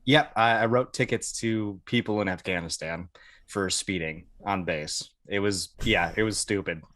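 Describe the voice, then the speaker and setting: A man's voice: sits low, moderate pace, fairly bright tone, no echo, clean studio sound. An American man telling anecdotes casually as a podcast guest, in a colloquial, amused way. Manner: colloquial, amused, chuckles through stories. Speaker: podcast guest, Air Force veteran.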